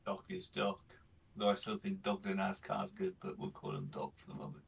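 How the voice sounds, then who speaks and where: A man's voice: low-pitched, sing-song, brisk, creaky, fairly bright tone, slight background noise. A man chatting casually as a player in a tabletop game. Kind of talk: casual chat.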